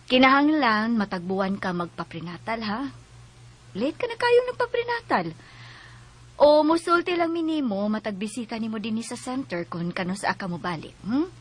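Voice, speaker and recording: A brisk village midwife in a radio drama, gently scolding her patient. Her voice is fairly high with a husky edge and a Cebuano accent. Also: expressive. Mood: brisk, chiding. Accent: Cebuano Bisaya. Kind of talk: Bisaya radio drama acting.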